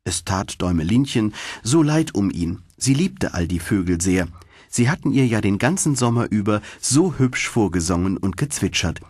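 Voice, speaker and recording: A man narrating a fairy tale for children as an audiobook, in an expressive, dramatic storytelling manner. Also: fairly low pitch, normal speed, slightly rough, no echo, studio quality.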